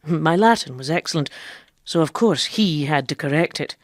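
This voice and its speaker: Swaggering impostor doctor, animated pitch swings. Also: female voice, normal speed. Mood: shocked, indignant. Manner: pompous, boastful, hesitant. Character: swaggering impostor woman posing as a male ship's doctor. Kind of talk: period radio drama acting.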